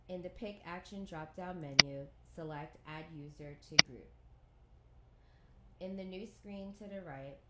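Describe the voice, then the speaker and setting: Low-voiced woman narrating a software tutorial. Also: very noisy. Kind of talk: scripted instructional narration.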